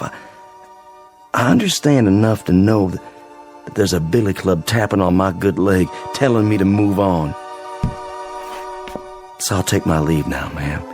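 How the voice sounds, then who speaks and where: An actor playing a weary wounded sergeant in a radio drama, courteous and plain-spoken but resolute. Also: male voice, low-pitched, darkish tone. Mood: resolute, haunted. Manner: plain-spoken, polite, halting.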